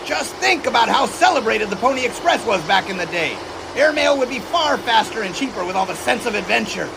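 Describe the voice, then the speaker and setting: Jaunty, high-voiced 1920s test pilot. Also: male voice, lively intonation, fairly quick, vocal fry, noisy background, full character voice. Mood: eager, confident. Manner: folksy, jaunty, enthusiastic. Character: cheerful, eager 1920s American test pilot, fond of teasing. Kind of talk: period audio drama acting.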